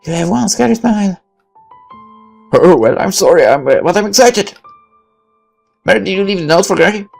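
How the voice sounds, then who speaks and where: A man's voice: sits high, expressive, moderate pace, creaky, long pauses, soft background music, voice pushed up, full character voice. A man voicing a mischievous, plotting child while reading a visual novel aloud. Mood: excited, scheming.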